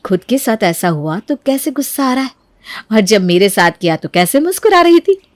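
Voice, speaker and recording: A woman's voice: on the high side, big pitch swings, normal speed, dry recording, studio quality. A woman voicing a resentful elder sister-in-law, bitter and scheming, in a cartoon family story. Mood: taunting, bitter. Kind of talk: animated family story dialogue.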